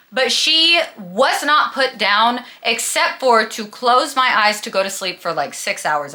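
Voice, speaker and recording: A woman's voice: medium pitch, sharp tone. A young adult woman with a slight lisp, chatting casually and emphatically about books to camera in a gushing, dramatic way. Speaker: book vlogger and host.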